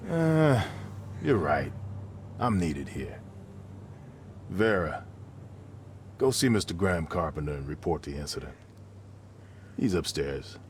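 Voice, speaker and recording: Brusque, low-voiced harried foreman. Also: animated, takes it slowly, long pauses, a little hiss or hum, full character voice. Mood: irritated, hurried. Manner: barking, curt. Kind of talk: video game cutscene dialogue.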